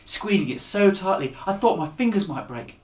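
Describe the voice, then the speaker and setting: Echoey-room male storyteller, dramatic and expressive. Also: sits high, normal speed. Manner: dramatic, with raised voices for dialogue and hushed endings. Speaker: author reading his own children's book. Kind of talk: expressive storytelling read aloud to children.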